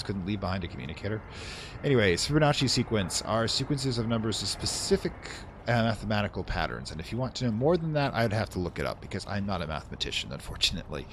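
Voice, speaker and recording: A man's voice: on the low side, a little hiss or hum. A man hosting a let's play, casually commenting in a wry, rambling way and reading in-game text aloud theatrically.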